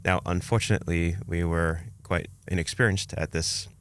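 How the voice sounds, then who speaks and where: Low, smooth-voiced man. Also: steady tone, normal speed. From Canada, born in Romania. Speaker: podcast guest, investor. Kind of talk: conversational personal storytelling.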